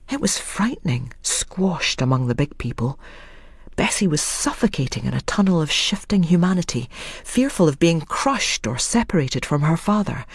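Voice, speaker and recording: Narrator for scripted dramatic fiction: husky tone, mid pitch, very dry sound. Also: no room sound. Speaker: storyteller.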